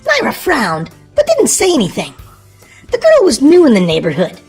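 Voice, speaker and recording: A woman reading a children's storybook aloud in an expressive, animated way. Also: sits high, normal speed, husky, soft background music. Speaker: storybook narrator.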